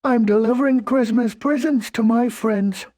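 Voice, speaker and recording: A woman voicing a gentle, naive teddy bear who muses slowly in a children's storybook. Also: a little high, dry recording, clean studio sound, close to an everyday voice. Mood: warm, polite. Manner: slow, musing, naive. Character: gentle, simple, kindly male teddy bear. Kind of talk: children's storybook character acting.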